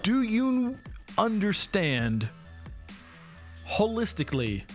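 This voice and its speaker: A man's voice: high-pitched, very animated, takes it slowly, faint music behind. A man chatting informally as a film review co-host, with loose, slangy wording. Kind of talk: casual review chat.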